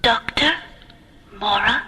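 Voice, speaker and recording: An actress playing a serene, kindly alien sky priestess with a breathy, formal, deliberate delivery in a radio drama. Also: female voice, fairly high pitch, pushed and bright, a little hiss or hum. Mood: serene, solemn. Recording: radio drama with music underneath.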